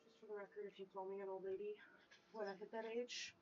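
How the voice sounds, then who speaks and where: A woman tossing in quick, teasing remarks from across the room. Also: mid-range voice, flat delivery, a little mellow, dull audio, slight background noise. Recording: faint and distant, picked up off-mic.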